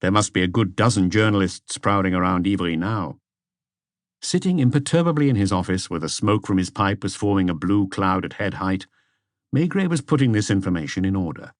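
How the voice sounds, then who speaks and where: A man's voice: sits low, expressive, a little fast, dry recording, clean studio sound. A man reading a detective story aloud as scripted audiobook narration.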